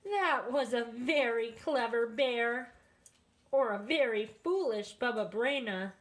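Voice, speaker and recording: A woman acts out a hospitable old grandmother from a children's storybook. She fusses and scolds gently with great fondness. Her delivery is unhurried and lilting. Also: a little high. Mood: cheerful. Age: older. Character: kindly, hospitable old grandmother, chuckling and fussing. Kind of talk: children's storybook read-aloud.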